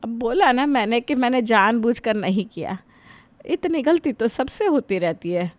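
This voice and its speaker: A woman's voice: on the high side, lively intonation, normal speed, no room sound, natural voice. A woman playing a complaining old mother-in-law. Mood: pleading. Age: older. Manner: wounded, scolding, sighing to God. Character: aging mother-in-law, put-upon and complaining. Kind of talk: Hindi family drama voice acting.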